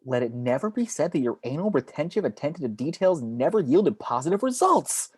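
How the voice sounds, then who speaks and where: Man as sardonic fallen angel, husky voice, swooping animated pitch, brash, excitable, film script read. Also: a little fast.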